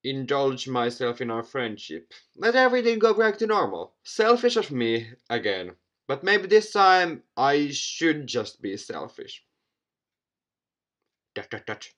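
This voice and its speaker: A man's voice: mid-range voice, sing-song, measured pace, fairly bright tone. A young man hosting a gaming video, reading visual novel narration aloud with asides and stumbling over repeated words. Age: young adult. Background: music.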